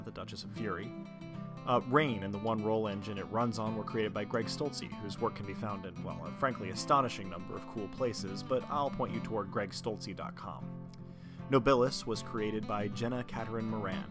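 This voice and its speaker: A man's voice: lowish voice, a little fast, over music. A man narrating as game master and hosting a tabletop role-playing podcast.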